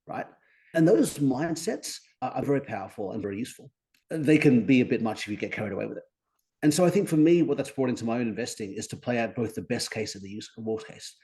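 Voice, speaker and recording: An Australian man in his forties, a guest on a podcast, talking upbeat and articulate with animated emphasis. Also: mid-range voice. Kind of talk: conversational interview.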